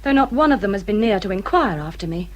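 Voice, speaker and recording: An actress playing a self-pitying hypochondriac English gentlewoman in a period radio drama, plaintive and complaining, with sighs and strong emphasis. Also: medium pitch, lively intonation, quick pace, close to an everyday voice. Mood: aggrieved.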